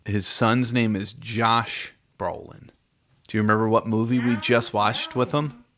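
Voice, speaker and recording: A man's voice: fairly low pitch, steady tone, unhurried, fairly bright tone. A middle-aged man co-hosting a casual movie chat with a child, asking prompting questions in an encouraging way. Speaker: podcast co-host, parent talking with child.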